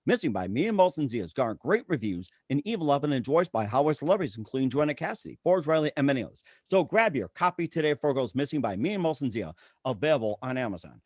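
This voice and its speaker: A man's voice: mid-range voice, very animated, fast, hardly any pauses, darkish tone, no room sound, studio quality. A man hosting a talk show, reading plugs and introductions in an announcer-like way with stock phrases. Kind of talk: promotional show host talk, ad reads and guest introduction.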